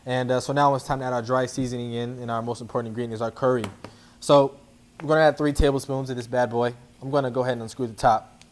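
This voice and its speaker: A man's voice: mid-range voice, steady tone, fast, a little mellow, a little hiss or hum. A man giving an informal, playful cooking demonstration, instructing as he goes. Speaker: athlete cooking a dish as guest chef. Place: indoor kitchen.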